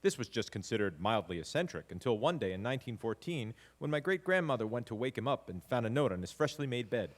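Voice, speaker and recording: Man as an expressive, wry family storyteller. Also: mid-range voice, fast talker, hardly any pauses. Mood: fond, wry. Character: reflective grandson fondly telling family history. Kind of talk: dramatic monologue narration before live audience.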